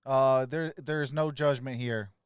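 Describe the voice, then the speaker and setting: A man's voice: medium pitch, fairly even intonation, takes it slowly, clear, resonant tone, no room sound, clean studio sound. A man hosting a sports livestream, mixing casual, friendly chat with viewers and informal play-by-play commentary. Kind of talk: casual live stream chat and game commentary.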